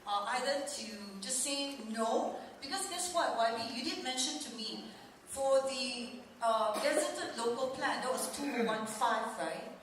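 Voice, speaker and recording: A woman's voice: high-pitched, unhurried, fairly bright tone. A Malaysian woman speaking as a residents' representative at a public townhall, earnest and roundabout as she puts questions to an official. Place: large indoor hall at a public meeting. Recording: very echoey hall, distant, faint noise.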